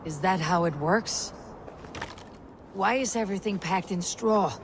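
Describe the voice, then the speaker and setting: A woman playing a fierce, proud Viking warrior in a video game, with a husky voice. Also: fairly low pitch, a little slow. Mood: curious, matter-of-fact. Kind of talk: dramatic video game acting. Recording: clear background noise.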